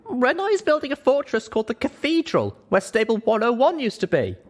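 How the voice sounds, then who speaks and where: A man voicing an earnest, anxious and determined young female pony heroine in an expressive story reading. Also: very high voice, brisk, voice pushed up, exaggerated voice. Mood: urgent, determined. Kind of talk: expressive audiobook character reading.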